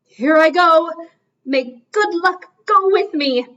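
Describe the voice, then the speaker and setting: A proud old male swan from a storybook, put on by a woman reading aloud, cries out his lines theatrically in a raised, high, strained voice. He scolds himself with lofty, formal dignity, and the audio is dull and lo-fi. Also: takes it slowly, sharp tone, recorded from a distance, exaggerated voice. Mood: resolute. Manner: theatrical, self-reproaching.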